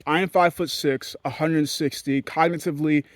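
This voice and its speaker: A man from Haiti talks straight to his audience in a candid, meandering rant full of swearing. His voice sits a little high, and it leaps up and drops low as he goes. The sound is dry and close, with no room around it. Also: fairly even intonation, a little fast, no echo. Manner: blunt, profane and rambling, with sudden jumps in pitch. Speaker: vlogger. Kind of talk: candid ranting monologue.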